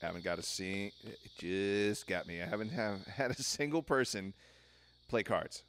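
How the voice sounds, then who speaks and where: A man's voice: lowish voice, a little slow, a bit bright. A man giving casual gameplay commentary in a chatty, rambling way, thinking aloud as he plays. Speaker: video game player commentating.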